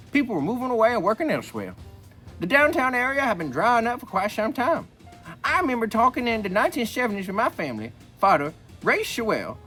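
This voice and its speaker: Man playing a rambling old grandpa, wildly animated. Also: high voice, full character voice. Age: older. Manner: rambling, repeats himself, wisecracking. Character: folksy, joking old grandpa reading a memoir aloud. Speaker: book reader. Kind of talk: reading a book aloud with rambling comic asides.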